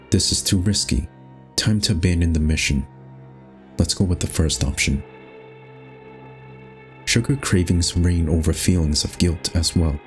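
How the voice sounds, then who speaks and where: A man reading scripted, storybook-style narration for a horror game. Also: low voice.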